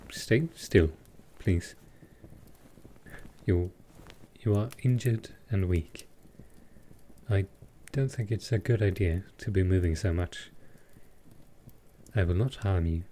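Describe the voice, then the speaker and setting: A man voicing a gentle, courtly vampire lord in a roleplay audio drama, speaking softly and formally with halting care. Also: fairly low pitch, a little hiss or hum. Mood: relieved, gentle. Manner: soft, slow, formal, halting. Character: gentle old-world male vampire lord, protective and courtly.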